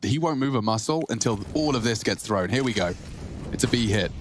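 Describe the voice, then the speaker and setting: A man with a British accent giving lively play-by-play commentary on an esports match. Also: medium pitch, a little fast, a little hiss or hum.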